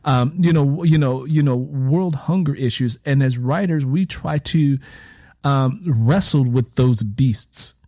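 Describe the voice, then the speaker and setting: Male interviewer, mid-range pitch, reflective and emphatic, repeating phrases for stress; conversational delivery, dry close sound. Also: takes it slowly, warm tone, no echo. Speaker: interviewer and writer. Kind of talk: reflective conversational interview talk about writing.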